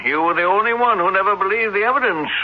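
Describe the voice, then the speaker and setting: A man's voice: sits high, fast talker, lightly acted. An actor playing a grave, earnest townsman with a questioning, dramatic manner in an old-time radio drama. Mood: solemn. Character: grave townsman startled by a murder confession. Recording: dull, noisy old radio recording with hum.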